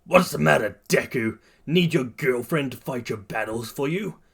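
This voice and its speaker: A man voicing an aggressive, hot-headed teenage boy in a narrated story, forceful and confrontational. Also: mid-range voice, lively intonation, moderate pace, vocal fry, slightly rough. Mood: taunting.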